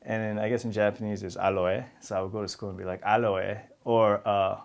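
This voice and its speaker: A man talks candidly in an interview. His voice sits low and carries a gravelly edge. He laughs easily as he tells stories. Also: flat delivery, brisk. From New York City. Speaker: actor, interview guest. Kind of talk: casual interview conversation.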